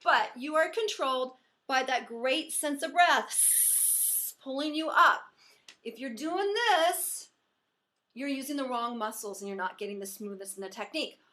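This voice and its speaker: A woman voice teacher giving an encouraging singing lesson, explaining pitch and resonance as she demonstrates. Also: a little slow, bright, forceful tone.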